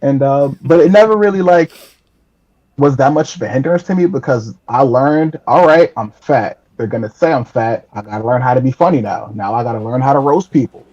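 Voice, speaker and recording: A man in his late twenties trades easy, self-mocking jokes as a podcast guest, laughing often between lines. His voice sits at an ordinary pitch, and the recording has a slightly dull, lo-fi edge. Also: fairly quick, darkish tone. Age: 20s. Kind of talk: casual interview chat.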